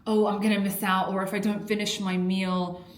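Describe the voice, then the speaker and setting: A woman's voice: medium pitch, flat delivery, moderate pace, slight background noise. A young adult woman from an Iraqi family, a weight-loss coach talking candidly and earnestly to camera in a personal vlog. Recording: echoey room.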